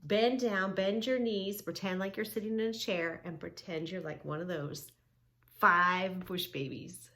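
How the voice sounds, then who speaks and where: A woman hosting a children's yoga read-along, teaching in an emphatic, sing-song way with drawn-out key words and questions. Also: medium pitch, steady tone, sharp tone. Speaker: children's yoga story-time host. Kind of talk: read-along lesson for young children, narrating and instructing.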